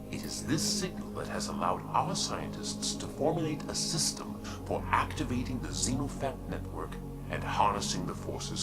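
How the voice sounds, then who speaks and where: An actor playing a solemn, zealous officer of a futuristic religious order, delivering a formal, measured briefing. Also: male voice, on the low side. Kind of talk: scripted sci-fi briefing narration. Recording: loud music under the voice. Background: loud game music.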